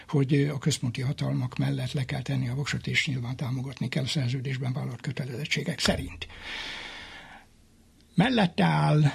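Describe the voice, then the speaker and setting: Husky, creaky-voiced man explaining history. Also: medium pitch. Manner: halting, with repeated words and pauses. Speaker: military historian, interview guest.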